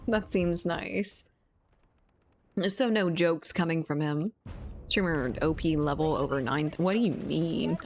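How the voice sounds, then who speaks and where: A woman's voice: sits low, slight background noise. Chatty, rambling young woman streamer. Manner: rambling, chatty, laughs often. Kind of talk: casual chat with viewers while gaming.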